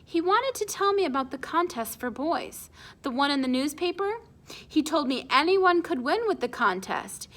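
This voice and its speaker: A woman's voice: high-pitched, sing-song, moderate pace, fairly bright tone, pitched above the performer's own voice. A woman voicing a proud, stern, hard-working father with emphatic, declarative phrasing in a storybook.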